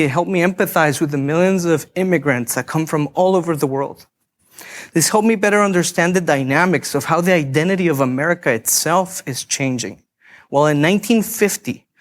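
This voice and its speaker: A man from Guatemala in his thirties giving an earnest, measured keynote talk on a conference stage. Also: fairly high pitch. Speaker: keynote speaker. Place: conference stage before an audience.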